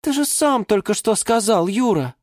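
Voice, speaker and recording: Children's story geranium, played by a woman: high, shrill, quarrelsome diva with big dreams, indignant, very dry close sound. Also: animated, normal speed, a little mellow, dry recording. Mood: sly. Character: excitable, quarrelsome female geranium dreaming of modelling.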